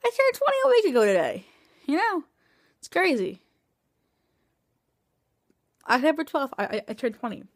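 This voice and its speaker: A woman of twenty talking into a phone camera at home at night, tired and in pain after surgery, rambling with many halting restarts. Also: high-pitched, wildly swooping pitch, dry recording, studio quality. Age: 20s. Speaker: vlogger. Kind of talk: casual video diary. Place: small room indoors at night. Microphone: phone camera held close.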